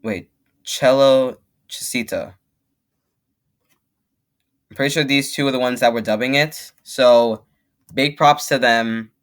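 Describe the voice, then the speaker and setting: Young man, reaction video host, medium pitch, casual, emphatic, rambling, repetitive for stress. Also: fairly even intonation, takes it slowly, long pauses, clean tone. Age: young adult.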